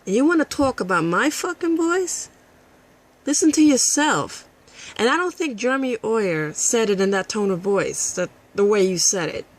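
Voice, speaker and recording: A woman with a raspy, husky voice, ranting combatively and with a lot of profanity at a critic in a vlog. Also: medium pitch, animated, moderate pace. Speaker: vlogger. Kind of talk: addressing a critic to camera.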